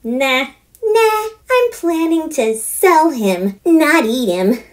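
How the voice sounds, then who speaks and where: A bossy, dramatic preteen farm girl pleads and gushes in a very high voice, as a woman puts her on. The pitch leaps all over, and the pace is quick and excitable. It is storybook reading, not a radio play. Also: exaggerated voice. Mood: wheedling. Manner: high, wheedling and theatrical.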